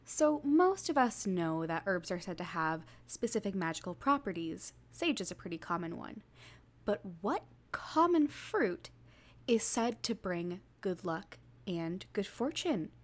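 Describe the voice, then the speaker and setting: Animated female trivia presenter on a podcast. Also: medium pitch, unhurried. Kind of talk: scripted trivia segment, conversational.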